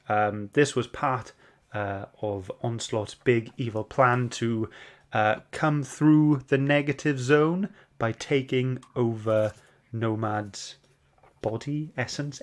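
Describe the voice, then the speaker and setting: Man hosting a casual live chat. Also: medium pitch. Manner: hesitant, halting when summarizing. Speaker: host of a comic book club show.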